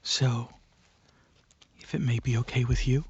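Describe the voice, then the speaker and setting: A performer of unclear sex voicing a calm, polite dragon alien researcher in a soft, whispery, gently halting ASMR roleplay, close to the microphone. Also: mid-range voice, no echo. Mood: gentle, curious. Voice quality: soft, breathy, often whispery. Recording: close, dry, slightly muffled recording.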